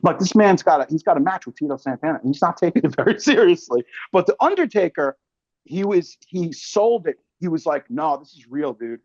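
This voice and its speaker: A man with a slightly high voice chats casually as a guest, telling stories with animation and plenty of slang and swearing. The recording is dry and a little dull. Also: a little fast, dry recording. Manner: animated storytelling, swears freely; slangy, calls listener man and brother. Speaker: interview guest, actor and filmmaker. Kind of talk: casual chat.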